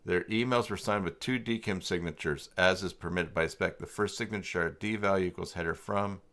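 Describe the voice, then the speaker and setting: Male tutorial streamer, deep register, informal and halting, talks to himself and reads off the screen, often trailing off. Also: a bit bright. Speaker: livestream host working through website email setup. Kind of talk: casual livestream tutorial, thinking aloud and reading from screen.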